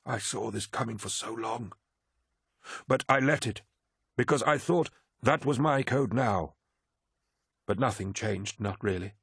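A man's voice: medium pitch, a little slow, long pauses, fairly bright tone, husky, no room sound, exaggerated voice. A man voices an old warrior captain in a science fiction audiobook. He sounds grave and disillusioned, speaking with weary regret and firm resolve in a very dry recording. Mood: regretful.

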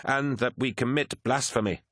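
A man as a formal adviser, pitched up. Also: a bit bright, dry recording, clean studio sound, lightly acted. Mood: deferential. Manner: measured, obsequious, formal. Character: anxious-to-please male military adviser, formal and deferential.

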